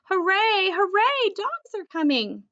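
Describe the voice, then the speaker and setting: A woman reading a children's storybook, voicing an excitable, eager young dog with an urgent, exclamatory manner. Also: very high-pitched, takes it slowly, no room sound, muffled recording, voice pushed up, full character voice. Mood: excited. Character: excitable eager young male dog narrator, barking and wailing. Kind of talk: children's storybook read-aloud.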